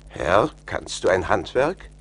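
Deep-voiced, kindly village helper. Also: male voice, sing-song, slow, a bit bright, heavily acted. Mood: helpful. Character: kindly village man advising a stranded stranger. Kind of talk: German radio play dialogue. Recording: slightly noisy vinyl record transfer.

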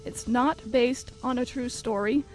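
High-voiced young woman announcing on radio. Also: fairly even intonation, measured pace, soft background music. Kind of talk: scripted announcing on live student radio. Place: college radio studio.

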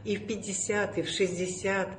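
Older lady doing a phone testimonial, middle pitch, sincere and encouraging, echoey room with a little hiss. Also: level tone, moderate pace. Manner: sincere, encouraging, addresses viewers directly. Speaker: program participant. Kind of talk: video testimonial. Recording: echoey room with faint noise.

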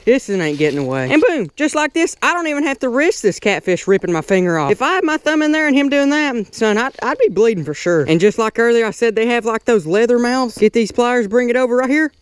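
A young man giving excitable, folksy commentary while fishing outdoors at a pond, talking into an action camera. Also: very high-pitched, quick pace, few pauses, smooth, no echo. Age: young adult. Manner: excitable, folksy, calls viewers boys and son. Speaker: fishing vlogger. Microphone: action camera on body or handheld.

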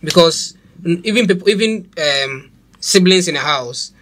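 A man's voice: a little high, lively intonation, normal speed, fairly bright tone. A young man, co-hosting a podcast and readily agreeing and echoing his partner. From Ghana. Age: young adult. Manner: agreeable, echoes and affirms the other speaker. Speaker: podcast co-host. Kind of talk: casual podcast discussion.

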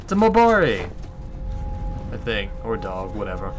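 A man's voice: fairly low pitch, wildly swooping pitch, moderate pace, over music. A man hosting a let's play with casual, rambling gameplay commentary and some swearing.

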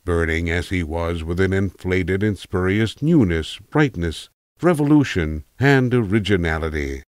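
A man narrating a literary tale in a measured, wry, precise manner, as a voice actor reading from a script. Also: deep voice, a little mellow, no room sound, clean studio sound.

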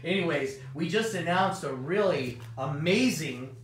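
A hoarse man hosts a live stream in upbeat, rambling chat full of fillers. His voice sits off the mic in a roomy space. A faint rumble runs underneath. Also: animated, unhurried, recorded from a distance. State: hoarse, voice partly gone. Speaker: host of a live comic shop stream.

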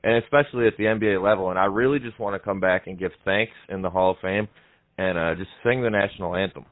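Low-voiced young man, dry close sound. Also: quick pace, dry recording, narrow, tinny audio. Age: young adult. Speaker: prank caller on a comedy show. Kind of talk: improvised prank phone call.

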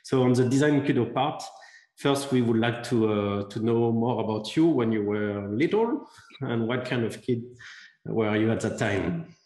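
A man in his forties hosts the interview, putting questions slowly with plenty of fillers. He speaks at a middling pitch into a desk mic. The studio room gives his voice a roomy echo. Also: warm tone. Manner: hesitant, many fillers, asks slowly. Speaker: interview host. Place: studio room with large plant and backdrop. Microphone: desk podcast mic.

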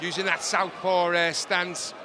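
Guy co-commentating a boxing match, chatty, repeats himself, voice a bit high, huge crowd noise behind. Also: flat delivery, measured pace. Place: ringside in a large indoor arena. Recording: loud crowd and arena noise. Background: noisy fight crowd.

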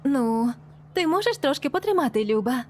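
A woman voicing a caring grown-up female dog in a cartoon fan dub, gentle and reassuring. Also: sits high, very animated, moderate pace.

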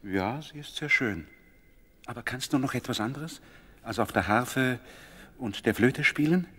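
An actor playing an eager, friendly young prince in a German radio play. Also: male voice, medium pitch, lively intonation, normal speed, pushed and bright, slightly rough. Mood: curious, polite.

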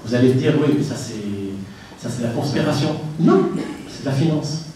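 A man's voice: a little high, a little fast, dark tone, a little hiss or hum. A middle-aged man from Tunisia giving an emphatic conference talk into a handheld mic, asking the audience rhetorical questions. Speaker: conference speaker. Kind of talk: public lecture with anecdotes. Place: conference room, indoors. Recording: echoey room, from far off.

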